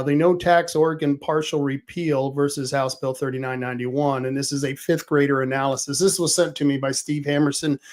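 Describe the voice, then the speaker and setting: Rambling higher-voiced male show host. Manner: rambling, with restarts and fillers. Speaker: political talk show host. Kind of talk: casual livestream talk.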